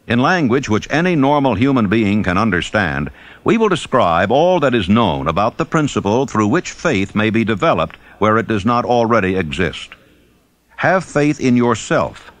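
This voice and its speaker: Man narrating with lively intonation. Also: medium pitch, dry recording. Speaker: audiobook narrator. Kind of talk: scripted self-help audiobook narration.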